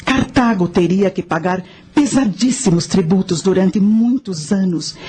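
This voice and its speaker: A woman playing a knowing guide who narrates ancient history in a radio drama, measured and instructive. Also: mid-range voice, warm tone, slightly rough.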